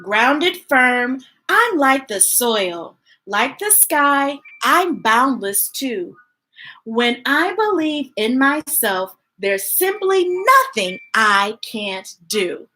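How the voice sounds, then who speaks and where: Woman, children's story time host: bright, forceful voice, unhurried delivery, playful and expressive read-aloud with chatty asides. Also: high voice, poor audio. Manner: animated, gushing, playful.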